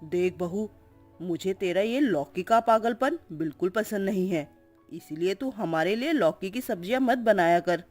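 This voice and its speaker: A grumpy middle-aged mother-in-law with a lowered voice, scolding and exasperated, as a woman puts her on. She speaks slowly and with lively swings of pitch, over soft background music.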